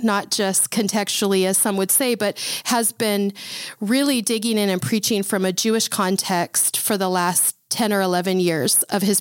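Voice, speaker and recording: A woman's voice: medium pitch, dry recording. A woman hosting a podcast interview, talking conversationally and with emphasis as she introduces her guest and the topic. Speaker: podcast host.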